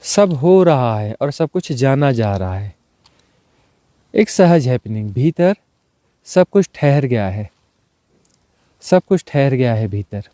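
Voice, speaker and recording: A man leading a guided meditation as a teacher, speaking in unhurried, short, repeated phrases and mixing in some English words. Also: medium pitch, big pitch swings, warm tone, no room sound. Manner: mixes English words into Hindi.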